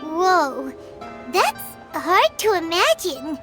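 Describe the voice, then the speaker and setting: A woman voicing a tiny, curious, excitable fairy companion with a squeaky childlike voice in a video game. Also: extremely slow, over music, exaggerated voice. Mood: amazed. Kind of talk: English video game voice acting.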